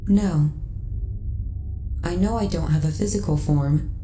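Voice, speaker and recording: A woman playing a lonely, devoted female starship AI in a soft ASMR sci-fi audio roleplay, speaking in measured, politely formal phrases. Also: deep voice, soft background music. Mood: confessional, longing. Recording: roomy echo, faint background noise.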